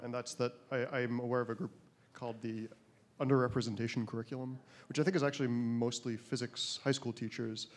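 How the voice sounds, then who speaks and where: Man, mid pitch, hesitant, many fillers, lecture hall audience. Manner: hesitant, many ums and sort ofs. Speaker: audience member asking a question.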